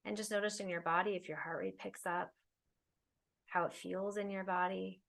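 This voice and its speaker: A woman leading a guided meditation, speaking soothingly in unhurried phrases with long pauses. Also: medium pitch, steady tone, creaky, a bit bright. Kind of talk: guided meditation, spoken slowly to listeners.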